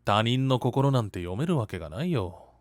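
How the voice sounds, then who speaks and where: A man voicing a polite, modest, level-headed teenage schoolboy with gentle, measured speech in an anime-style voice drama. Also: mid-range voice, very animated, no echo, clean studio sound, natural voice.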